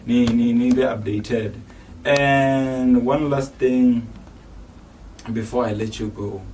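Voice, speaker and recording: A man's voice: medium pitch, fairly even intonation, slow pace, darkish tone. A man with a South African accent hosting a casual interview in a laid-back, slangy way. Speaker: host. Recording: distant mic with faint noise.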